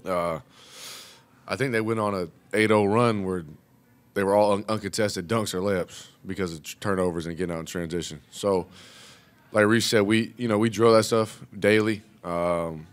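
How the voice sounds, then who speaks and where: A young man, a college basketball player, answering questions at a postgame press conference, relaxed and full of "you know". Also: lowish voice, moderate pace, clean tone. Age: young adult. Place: press room, seated at table. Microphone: table mic.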